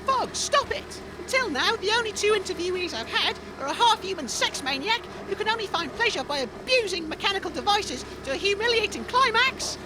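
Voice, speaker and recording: A woman's voice: extremely high pitch, lively intonation, brisk, hardly any pauses, background noise. A woman playing a polite, chatty and easily fed-up radio interviewer on an adventure in a comedy audio drama. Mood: eager, polite.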